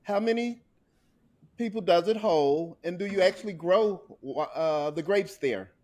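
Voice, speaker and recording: High-pitched curious male podcast host. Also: measured pace, a little mellow. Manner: curious, asks direct questions. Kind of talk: casual interview.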